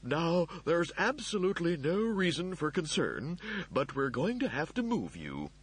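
A man's voice: fairly high pitch, big pitch swings, unhurried, pitched above the performer's own voice. A man voicing a male android servant in an audiobook, overly soothing and fussy while hiding panic. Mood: falsely soothing. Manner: overly soothing, euphemistic reassurance. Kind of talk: audiobook character voice.

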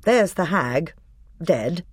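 A narrator playing a courteous, confident boy high king with brisk, well-mannered authority. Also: expressive, slightly rough, no echo, clean studio sound, full character voice. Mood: composed, courteous.

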